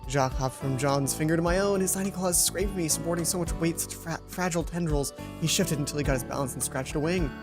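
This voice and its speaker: Female game streamer, low register, reads story text with offhand asides, music clearly behind. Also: fast talker, hardly any pauses. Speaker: let's play host. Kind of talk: reading game narration aloud with casual asides. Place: closed hot room at home.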